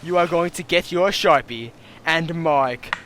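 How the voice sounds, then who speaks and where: A man's voice: fairly high pitch, unhurried. A man giving comic instructional narration in a folksy, theatrical, emphatic way, with noise behind him. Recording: noisy background hiss.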